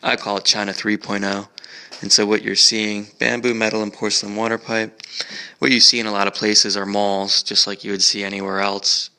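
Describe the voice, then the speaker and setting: Gravelly, bright-toned American man. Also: fairly low pitch, little pitch movement, brisk. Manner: meandering, chatty, frequent fillers and restarts. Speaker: travel vlogger. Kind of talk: casual rambling travel talk. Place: museum park.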